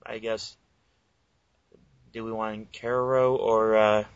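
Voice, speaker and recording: A man who voices fan dubs, chatting casually, halting with fillers and swearing. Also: on the low side, level tone, no room sound. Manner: hesitant, sweary. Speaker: fan dub voice actor. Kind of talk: casual podcast chat. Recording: dry, dull lo-fi recording.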